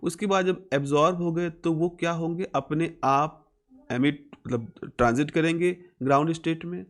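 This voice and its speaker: A man's voice: a little high, moderate pace. A man with an Indian accent lecturing as a physics teacher, explaining step by step and repeating key phrases.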